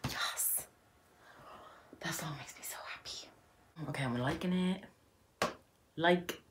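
Low-voiced chatty Irish woman. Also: slow. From Ireland. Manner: chatty and playful, with whispered asides. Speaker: YouTuber. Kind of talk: casual reaction commentary. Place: indoors at home.

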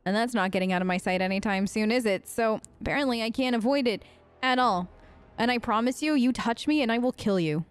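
A woman's voice: fairly high pitch, brisk, smooth. A woman improvising in character for a game let's play, sarcastic and defiant, with casual modern phrasing. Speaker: role-play let's-player.

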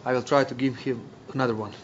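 Guy at a press conference, halting phrasing, dry jokes, dull lo-fi sound w faint hiss. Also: mid-range voice, steady tone. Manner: dry joking, halting non-native phrasing.

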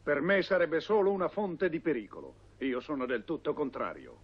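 A cold cartoon tyrant king, pompous and commanding. His voice is husky and rather high for a male, on a dull old soundtrack. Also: animated, moderate pace. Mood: stern, accusing. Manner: imperious, pompous.

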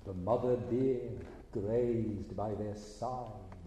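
A man narrating a fairy tale for a stage performance, with measured, deliberate phrasing and pauses. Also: on the low side, fairly even intonation, warm tone. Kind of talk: fairy tale storytelling for a eurythmy stage show. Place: large indoor stage hall with an audience. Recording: very echoey hall, distant, faint noise.

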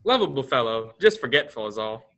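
A man's voice: medium pitch, sing-song, a little fast, pushed and bright. A young man playing a fond storyteller, narrating a radio play expressively. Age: young adult. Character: story-telling guide, recounting a life fondly.

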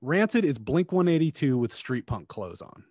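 A man's voice: medium pitch, big pitch swings, normal speed, darkish tone, breathy edge, dry recording. A middle-aged man co-hosting a podcast in casual, blunt, sweary chat, speaking close to the microphone. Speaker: podcast co-host. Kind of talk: casual book review chat. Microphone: close podcast microphone with headphones.

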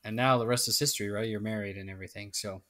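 A man's voice: fairly low pitch, fairly even intonation, racing, pushed and bright. A man co-hosting a casual podcast, talking in a rambling way and often restarting his sentences. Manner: rambling, self-interrupting. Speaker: podcast co-host. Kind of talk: casual chat.

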